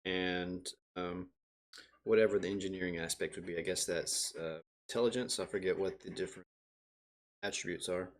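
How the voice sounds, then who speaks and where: A man's voice: low voice, steady tone, moderate pace. A man talking casually as a player in a tabletop game, thinking aloud as he goes. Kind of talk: casual, spontaneous game talk.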